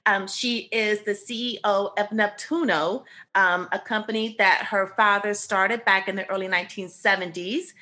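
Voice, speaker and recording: A woman's voice: mid-range voice, steady tone, moderate pace, hardly any pauses, bright, forceful tone. A woman co-hosting a podcast, interviewing her guest with enthusiasm and lavish praise. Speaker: podcast co-host.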